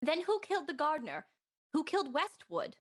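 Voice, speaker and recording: Casting: excitable 1920s house guest, very high pitch, swooping delivery, impatient, radio whodunit. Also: female voice, brisk. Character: excitable, impatient onlooker at murder inquiry. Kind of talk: period radio mystery acting.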